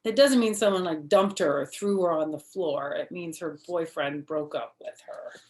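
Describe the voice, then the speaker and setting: A woman hosting a children's storytime, reading expressively and pausing to explain words simply to young listeners. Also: deep voice, a little mellow. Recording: roomy, echoing home recording.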